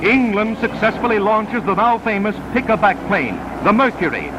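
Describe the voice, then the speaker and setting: Male 1930s newsreel narrator, high register, dramatic scripted delivery, vintage film sound with background music. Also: expressive, moderate pace, poor audio. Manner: dramatic, solemn declamation.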